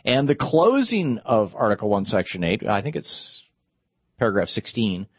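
Low-voiced male talk radio host. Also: big pitch swings, normal speed, slightly rough, dry recording, highs cut off. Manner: discursive, argumentative, stops and restarts mid-sentence.

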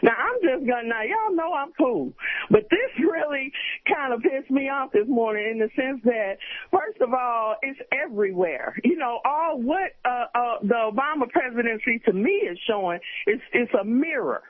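A man's voice: very high-pitched, animated, normal speed, dry recording. A man in his fifties calling in to a radio show, impassioned and insistent, heard over a phone line. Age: 50s. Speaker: caller. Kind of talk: call-in radio conversation. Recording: tinny dry phone line.

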